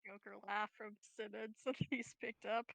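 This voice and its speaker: A woman's voice: mid-range voice, level tone, moderate pace, creaky, fairly bright tone, dry recording, rough recording. A woman player bantering casually at a tabletop game, teasing and joking.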